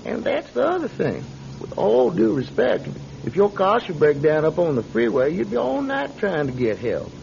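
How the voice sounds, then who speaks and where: An actor playing a genial, pious older traveling preacher in a radio drama, with courtly, unctuous phrasing. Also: male voice, sits high, wildly swooping pitch, normal speed, vocal fry, background noise, full character voice. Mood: persuasive. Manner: courtly, unctuous, quaintly formal. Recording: slightly dull radio recording.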